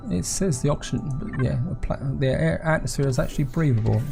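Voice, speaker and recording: A man with a sore throat and a croaky voice, casually commenting on his own gameplay and chatting to viewers. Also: fairly high pitch, quick pace. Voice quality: croaky, gravelly voice. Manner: chatty, addresses viewers as guys. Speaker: gameplay video host. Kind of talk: casual let's-play commentary. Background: game music.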